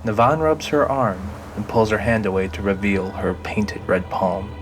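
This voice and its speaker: Low-pitched male drama narrator. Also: lively intonation, normal speed, music in the background. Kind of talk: scripted audio drama narration.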